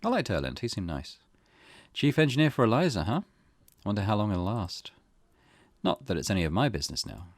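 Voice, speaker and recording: Guy doing a lets play read-through, lowish voice, really animated up and down, dry sound. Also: fairly quick, pauses often, no echo. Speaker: video game let's play host. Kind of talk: let's play reading aloud and commentary.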